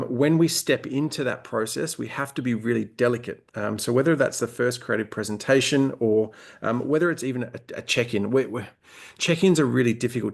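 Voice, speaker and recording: A man talks through survey findings in a relaxed, conversational way, with a noticeably gravelly voice at an average pitch. He often pauses with "um" and repeats words while he talks. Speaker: co-presenter. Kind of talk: webinar presentation.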